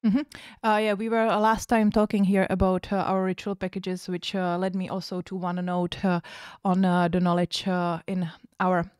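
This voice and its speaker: A woman's voice: lowish voice, little pitch movement, normal speed, few pauses, darkish tone, clear, resonant tone, dry recording. Woman hosting a livestream, lots of ums, dead dry sound. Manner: hesitant, many fillers. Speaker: co-host of a livestream. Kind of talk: casual livestream chat.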